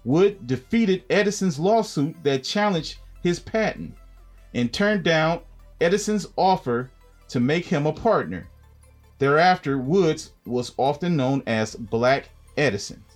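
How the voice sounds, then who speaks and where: A man with a fairly high voice reads from a prepared factual script. Soft music runs behind him. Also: expressive, unhurried. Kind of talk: scripted read-aloud segment.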